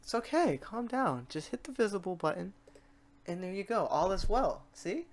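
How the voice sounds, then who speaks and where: Animated, informal tutorial host with a mid-range voice. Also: mid-range voice, moderate pace. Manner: animated, informal slang, acts out learner questions and exclamations. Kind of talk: casual software tutorial, talking the viewer through steps.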